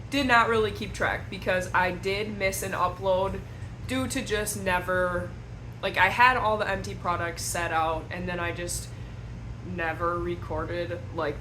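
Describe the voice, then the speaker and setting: Slow-talking slightly hoarse young woman. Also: mid-range voice, sharp tone, slight background noise, low-quality recording. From Wisconsin. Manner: rambling, chatty, self-deprecating asides. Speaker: beauty vlogger. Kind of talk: casual chat to camera. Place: at home indoors.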